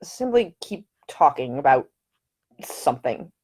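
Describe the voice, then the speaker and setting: A woman's voice: rumbling low, sing-song, a little slow, darkish tone, exaggerated voice. Woman playing a young English lord, surly, curt, formal wording, scowling, audiobook character. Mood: queasy, stiff.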